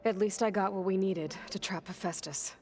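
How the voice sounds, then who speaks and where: A woman's voice: mid-range voice, moderate pace, darkish tone, natural voice. A woman playing a determined, wry young hunter heroine in a video game, speaking in a matter-of-fact, dry way. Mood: wry, caring. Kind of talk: video game cutscene acting. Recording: background music under the voice.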